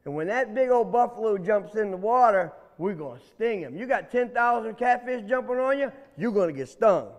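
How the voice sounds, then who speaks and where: A man's voice: sits high, animated, moderate pace, few pauses. A man voicing a feisty, indignant catfish leader in a folktale, exclaiming and scolding in folksy speech to protect his pond. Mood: scheming. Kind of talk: oral animal folktale. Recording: echoey hall, music.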